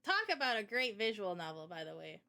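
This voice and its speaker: A woman's voice: mid-range voice, big pitch swings, brisk, creaky, bright, forceful tone. A woman guesting on a livestream as an illustrator and game developer, talking casually into her mic.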